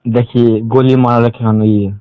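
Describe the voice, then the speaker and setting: A man's voice: medium pitch, little pitch movement, measured pace, smooth, no room sound. A man with a Bihari accent from Mithila, talking informally on a recorded phone call. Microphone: telephone.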